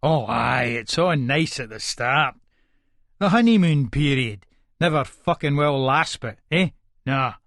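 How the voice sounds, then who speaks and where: A man's voice: mid-range voice, expressive, measured pace, gravelly, a bit bright, no room sound, clean studio sound. An actor playing a short-tempered, foul-mouthed Scottish hard man, narrating aggressively and colloquially in an Edinburgh accent for an audiobook. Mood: irritable, scornful. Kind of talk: first-person audiobook narration, dramatic.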